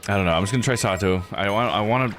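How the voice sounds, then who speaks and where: A man's voice: on the low side, very fast, a bit bright. A man giving casual live game commentary, thinking aloud in a musing, self-questioning way.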